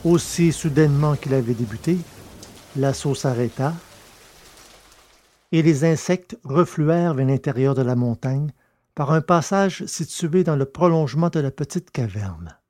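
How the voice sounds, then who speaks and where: A man reading a science fiction story aloud in a steady, measured narration. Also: on the high side. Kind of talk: scripted audiobook narration.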